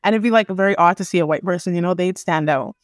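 A woman's voice: lowish voice, quick pace, no room sound, clean studio sound. Young woman chatting with a laugh. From Canada and the Caribbean. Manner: laughs often, many filler likes. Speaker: podcast guest. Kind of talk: casual group conversation.

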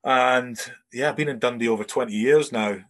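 A man's voice: mid-range voice, normal speed. A man in his forties with a Scottish accent carrying some English traces, telling his life story as a podcast guest in a rambling, chatty way. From Scotland. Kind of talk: casual interview chat.

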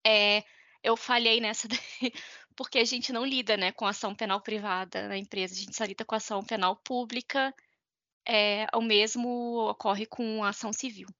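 A woman's voice: on the high side, steady tone, normal speed, pushed and bright, no echo. A woman with a Brazilian Portuguese accent explaining law informally, hesitating and correcting herself as she goes. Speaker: podcast panelist explaining law. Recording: dull lo-fi, dry close sound.

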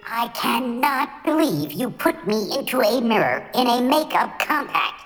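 A woman's voice: on the high side, very animated, unhurried, a bit bright. A woman playing a brutally honest, sassy and exasperated magic mirror in a comic radio play. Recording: long reverb with music.

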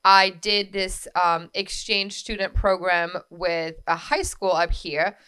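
A woman in her thirties from France with a French accent, telling her story as a podcast guest in a lively way with quick asides. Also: lowish voice, clear, resonant tone. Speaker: guest, wedding coordinator. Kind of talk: casual interview storytelling.

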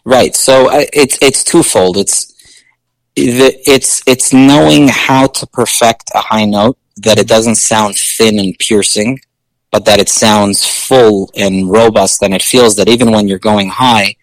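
A male singer with a low speaking voice, chatting casually in an interview with many fillers and restarts. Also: steady tone, a little slow, no echo, low-quality recording.

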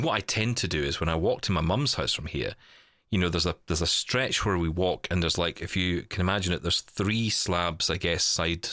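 A man's voice: deep voice, fairly bright tone, no echo, clean studio sound. A man chatting casually as a podcast host, candid and a little hesitant, often repeating his words. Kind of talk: casual chat.

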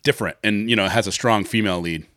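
A man's voice: on the low side, quick pace, fairly bright tone, no room sound. A man hosting a podcast in a casual, informal chat, with a blunt and sometimes salty way of talking. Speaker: podcast host.

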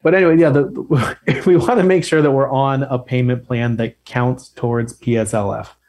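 A man's voice: mid-range voice, steady tone, moderate pace, a little mellow. A man talking as a podcast guest, explaining things in a chatty, lightly joking way. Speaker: guest expert on loans. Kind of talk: casual podcast chat.

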